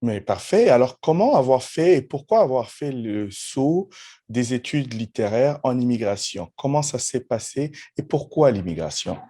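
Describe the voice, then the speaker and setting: A man hosts an interview podcast in a conversational style. His voice is medium in pitch and dark, relaxed in tone. He phrases his questions haltingly, often restating them.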